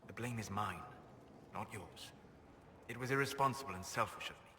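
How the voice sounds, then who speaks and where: A solemn, guarded elven mage, speaks with formal restraint in a fantasy game. The voice is crisp-edged and bright, on the low side, set in long reverb. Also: male voice, moderate pace, a little hiss or hum. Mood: guarded, firm. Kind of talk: dramatic fantasy game dialogue.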